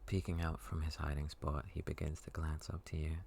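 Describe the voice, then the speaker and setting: A man softly narrating an intimate story as an ASMR narrator. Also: a bass voice, monotone, fairly quick, creaky.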